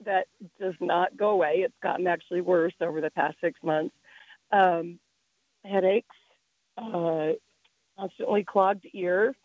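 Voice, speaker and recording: A woman calling in to a radio show, hoarse and hesitant, with many pauses. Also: lowish voice, steady tone, no echo, narrow, tinny audio. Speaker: caller.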